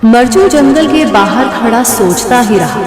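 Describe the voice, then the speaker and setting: A woman narrates a children's tale at a very unhurried pace. Her voice is somewhat high, with echoing reverb and music behind it. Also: animated. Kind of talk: scripted children's story narration. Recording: echoey reverb, music and crowd sounds behind.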